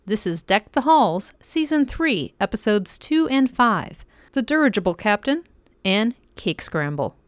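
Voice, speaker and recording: A woman's voice: mid-range voice, sing-song, measured pace. A woman podcast host reading a scripted introduction to a show.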